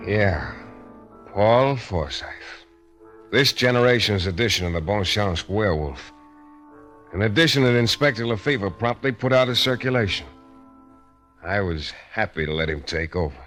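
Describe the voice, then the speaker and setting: A man's voice: on the low side, lively intonation, normal speed, pauses often, a bit bright, soft background music. An actor playing a tough, wry private detective who narrates his own case in a clipped 1940s radio style. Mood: weary, wry. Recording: noisy vintage radio recording.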